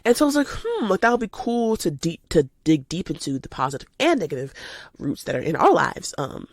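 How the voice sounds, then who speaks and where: An eighteen-year-old woman chats casually and spontaneously as a podcast host, animated and informal, slightly stuffy from a cold. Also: fairly low pitch, brisk, no room sound, clean studio sound. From United States, lives in Georgia. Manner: animated, informal, addresses listeners as y'all. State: recovering from a cold.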